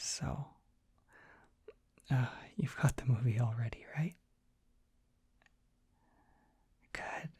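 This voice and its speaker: A performer playing a dorky, gentle young dragon boy in an ASMR roleplay, speaking in a soft breathy whisper, tender and bashful. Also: medium pitch, no room sound, clean studio sound, exaggerated voice. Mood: tender, sheepish. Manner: tender, bashful, hesitant, softly reassuring. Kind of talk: ASMR roleplay, intimate comforting monologue.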